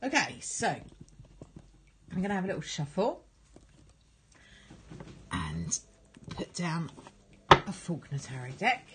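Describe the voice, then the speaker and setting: A woman with a croaky cold, giving a casual, chatty tarot card reading at a table at home and filmed on a phone. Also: on the low side, wildly swooping pitch, slow, long pauses, background noise. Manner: chatty, repeats words and fillers, gentle guiding tone. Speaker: tarot reader.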